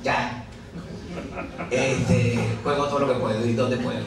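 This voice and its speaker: A man's voice: medium pitch, steady tone, a little slow, over music. A Venezuelan novelist in his forties, talking to an audience in a chatty, self-mocking way and laughing often. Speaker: novelist presenting his book. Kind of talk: conversational talk to an audience. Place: busy café with an audience. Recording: echoey café room, mic far off, crowd chatter.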